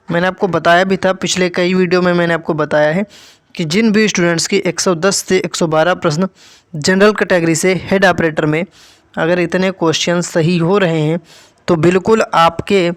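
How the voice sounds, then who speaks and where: A man with a distinctly high voice speaks as an online exam-prep presenter. He explains things informally to students in an instructive way. He often repeats himself and drops in short check-in tags.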